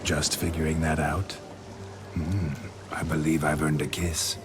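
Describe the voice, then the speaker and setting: A man's voice: very deep voice, little pitch movement, measured pace. A man playing a brash, boastful, lecherous nobleman in a video game, with smug teasing delivery. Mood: smug, flirtatious. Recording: loud background music.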